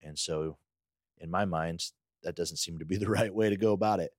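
A man's voice: deep voice, moderate pace, dry recording, studio quality. A man co-hosting a podcast, talking in a casual, conversational way. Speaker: podcast co-host.

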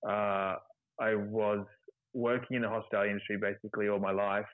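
A man's voice: fairly low pitch, brisk, dry recording. Chatty Australian man on a phone-like line. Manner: relaxed, chatty, laughs easily. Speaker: guest, television cooking contestant. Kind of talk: casual conversation.

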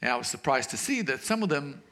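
A man from Israel with an Israeli accent speaks at a church as a guest preacher. His voice is bright and forceful, sitting at a middle pitch for a man. He talks earnestly, stopping often on fillers and starting sentences again. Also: sing-song. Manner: warm, earnest, halting with frequent fillers and restarts. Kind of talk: sermon.

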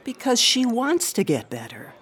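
A woman acting in a radio drama as a concerned, compassionate society aunt, earnest and expressive in her delivery. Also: fairly high pitch, moderate pace, breathy edge, full character voice. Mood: earnest, soothing.

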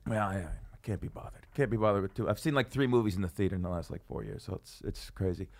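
A man's voice: lowish voice, fast talker, vocal fry. A man hosting a podcast from a studio, joking and rambling sarcastically in casual comedic chat. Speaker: podcast host.

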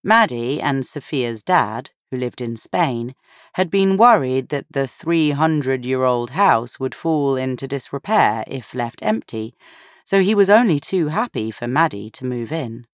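A woman's voice: rumbling low, animated, measured pace, no room sound. Woman reading a novel, close dry sound. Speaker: audiobook narrator. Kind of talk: scripted narration of a novel.

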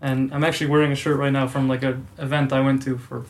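A man's voice: mid-range voice, little pitch movement, fast. A man, talking casually as a podcast guest in a careful, thoughtful, somewhat hesitant way. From born in Serbia, raised abroad from toddlerhood. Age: early 20s. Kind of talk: casual interview chat.

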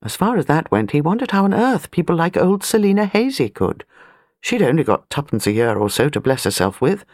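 British woman, audiobook narrator, literary storytelling, wry, precise, animated pitch, very dry recording. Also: a little fast, no echo.

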